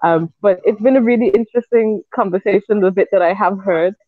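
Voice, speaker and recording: Woman doctor, apologetic and brisk, thin phone-like audio, dry. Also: mid-range voice, no room sound. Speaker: doctor, guest participant. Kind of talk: casual chat. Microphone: video call.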